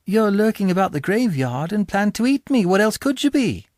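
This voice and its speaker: A man's voice: sits high, very animated, normal speed, darkish tone, dry recording, clean studio sound, voice pushed up. A man voicing a small, timid young boy in an audiobook, polite, earnest and a little faltering. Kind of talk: dramatised audiobook character voice.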